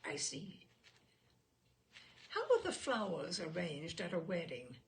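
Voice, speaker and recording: Casting: woman playing polite, methodical male examiner in comic story reading; formal, unhurried, gravelly edge. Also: lowish voice, sing-song, a little hiss or hum, pitched below the performer's own voice, exaggerated voice. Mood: polite, inquiring. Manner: formal, measured questioning. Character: courteous male questioner, methodically examining a witness. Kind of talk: humorous short story read aloud.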